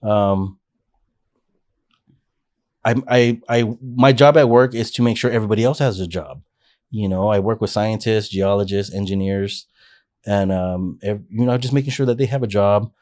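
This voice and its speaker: Male guest, 40s, lowish voice, earnest and rambling, lots of restarts. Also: moderate pace, darkish tone. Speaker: podcast guest. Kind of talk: casual life-story interview.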